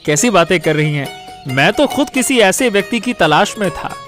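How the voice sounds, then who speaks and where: Earnest educated young villager , male actor: high, animated cartoon voice, polite and hesitant, light music behind. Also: normal speed. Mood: eager, bashful. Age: young adult. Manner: hesitant, agreeable. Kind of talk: cartoon voice acting.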